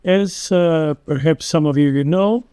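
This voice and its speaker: An older man from Greece with a Greek accent, telling a history in a slow, halting way full of pauses and fillers. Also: on the high side, warm tone, clean tone, no echo, studio quality. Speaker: publisher recounting company history. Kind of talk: unscripted talk.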